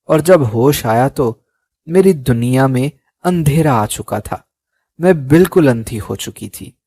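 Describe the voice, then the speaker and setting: A man narrating a sad first-person story in a slow, measured storytelling manner. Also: mid-range voice, a little mellow, no room sound.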